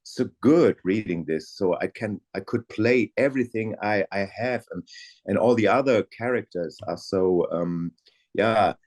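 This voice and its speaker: An older man, an audiobook narrator, chatting casually in an interview in a lively but hesitant way and often searching for words. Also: fairly low pitch, measured pace.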